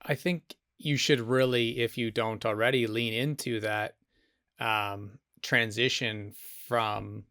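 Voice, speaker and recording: A man's voice: medium pitch, steady tone, slow, sharp tone, no room sound, clean studio sound. A man hosting a podcast, giving encouraging, direct advice to his guest in casual chat. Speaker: podcast host.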